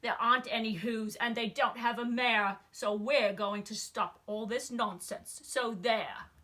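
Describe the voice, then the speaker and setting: A bullying storybook monkey voiced by a woman, full of scorn. It shouts in a bright, forceful, fairly high voice. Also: fairly even intonation, measured pace. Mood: scornful.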